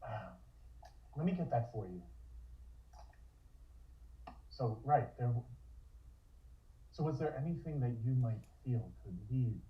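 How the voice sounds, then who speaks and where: A man's voice: medium pitch, lively intonation, slow, long pauses. Man playing a detective, echoey dull audio. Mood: probing. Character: probing, composed male police detective. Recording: echoey, dull online call.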